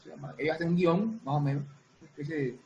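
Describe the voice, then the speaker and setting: A man's voice: fairly high pitch, creaky, darkish tone, lo-fi sound. A man explaining his work casually as an interview guest, repeating and rephrasing as he goes. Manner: halting, repeats and reformulates. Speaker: guest, music producer and sound designer.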